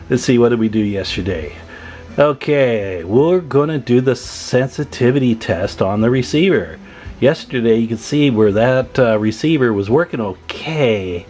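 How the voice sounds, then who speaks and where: A man's voice: medium pitch, darkish tone. Folksy man demonstrating repair work. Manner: folksy, colloquial, chatty asides to viewers. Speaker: radio repair technician, video host. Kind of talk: casual hands-on demonstration narration.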